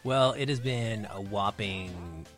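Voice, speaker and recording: A man's voice: on the low side, slow, a bit bright, faint music behind. A man chatting casually and enthusiastically as a guest on a podcast. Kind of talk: casual chat.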